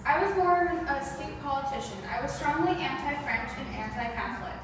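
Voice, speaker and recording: A woman reading scripted answers in the role of a historical man, in a plain, steady way. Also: high voice, fairly quick. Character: grown man, a historical Canadian figure. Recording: echoey room, far from the mic, with background noise.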